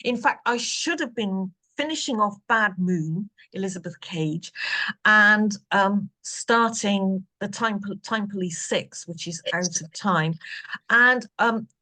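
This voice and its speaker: A woman's voice: mid-range voice, slow, bright, forceful tone, rough recording. A woman author chatting with readers on a video call, rambling and self-deprecating. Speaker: author answering reader questions. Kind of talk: casual video call chat.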